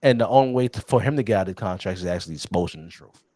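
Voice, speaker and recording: A man co-hosting a casual sports podcast, talking in an animated, teasing way and chuckling often. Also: low-pitched, very fast, no room sound. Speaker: podcast co-host.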